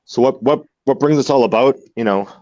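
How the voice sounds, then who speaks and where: A man's voice: mid-range voice, a little fast, a little mellow, no echo, muffled recording. A man in his forties, a guest in a candid interview, talking earnestly and repeating words as he searches for them. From United States. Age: 40s. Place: home in Mexico.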